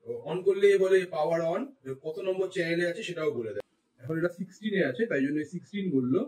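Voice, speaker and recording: High-pitched, fast-talking Bengali man, echoey room. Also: a little mellow. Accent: Indian Bengali. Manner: explanatory, mixes English phrases into speech. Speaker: tech reviewer, vlogger. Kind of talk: casual product review, explaining to viewers. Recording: roomy echo, distant mic.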